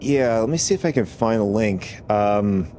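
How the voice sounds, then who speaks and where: A man with a raspy voice from a cold, chatting casually with viewers while gaming on a stream, rambling with many restarts. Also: fairly low pitch, expressive, normal speed, dark tone, a little hiss or hum.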